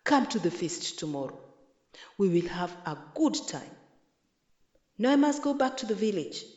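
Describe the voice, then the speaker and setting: A woman voicing a friendly, excited village man in a storybook, giving emphatic greetings and invitations. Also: medium pitch, normal speed, muffled recording, natural voice. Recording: echoey, roomy sound.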